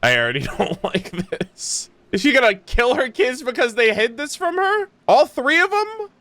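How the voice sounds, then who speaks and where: A video game streamer giving casual, slangy, expressive reaction commentary with some swearing over game music. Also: medium pitch, normal speed, dry recording.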